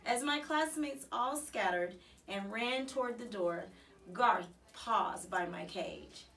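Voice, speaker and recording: A woman's voice: a little high, takes it slowly, vocal fry. Distant-miked woman reading a children's story. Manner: animated, dramatic emphasis. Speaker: storybook reader. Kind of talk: expressive children's audiobook read-aloud.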